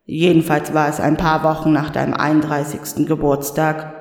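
A man voicing Death as a calm, patient spirit who speaks in a measured, dryly stern way. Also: fairly high pitch, gravelly, pitched below the performer's own voice. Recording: echoey room with long reverb.

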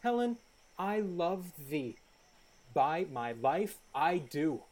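Hot-headed young male lover, acted by a woman, Shakespeare radio drama: very animated pitch, slow emphatic verse, ardent and scornful. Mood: ardent, pleading. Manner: theatrical, emphatic verse delivery. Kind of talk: amateur Shakespeare radio play acting.

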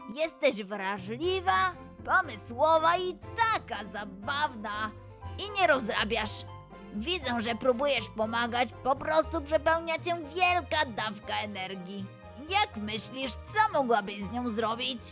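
A woman playing a caring, patient young male animal friend in a children's storybook, speaking in a gentle, reassuring, measured way. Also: on the high side, animated, soft background music, voice pushed down. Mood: encouraging.

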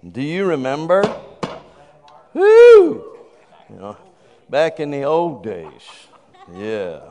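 A man's voice: on the high side, wildly swooping pitch, slow, slight background noise. A man in his fifties preaching a sermon, exuberant and calling out praise. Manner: exuberant, calls out praise and shouts "woo". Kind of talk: sermon with a congregant. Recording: reverberant hall.

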